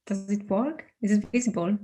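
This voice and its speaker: A woman from Greece with a Greek accent gives an informal online presentation as a research fellow, in a halting, hesitant way with frequent ums. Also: medium pitch, warm tone. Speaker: research fellow, invited panel speaker. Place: online video call. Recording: noisy video call audio.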